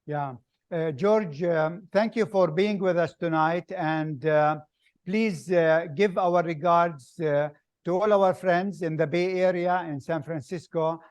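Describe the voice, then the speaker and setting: A man hosting an interview, asking questions slowly and hesitantly with many fillers. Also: fairly high pitch, smooth, dry recording. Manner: slow, hesitant, many uhs. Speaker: host. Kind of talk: video call interview.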